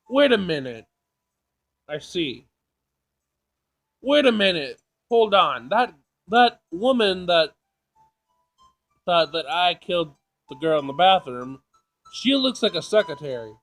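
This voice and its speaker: Slightly high-voiced man, casual gaming commentator. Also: sing-song, slow, long pauses, faint music behind. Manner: jokey, thinks out loud, repeats himself. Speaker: gaming video host. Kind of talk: casual playthrough commentary, reading game text aloud.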